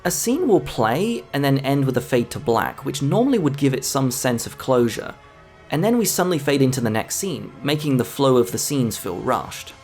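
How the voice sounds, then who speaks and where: A man's voice: medium pitch, big pitch swings, normal speed, a little mellow, faint music behind. A man narrating a scripted film review as the host of a video essay.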